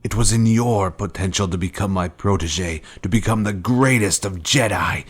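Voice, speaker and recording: Very deep, grave fallen master villain. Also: male voice. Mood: solemn, reproachful. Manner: slow, solemn, pompous formal speech. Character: menacing fallen Jedi master, grave former mentor, cold and grandiose. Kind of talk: scripted video game villain dialogue.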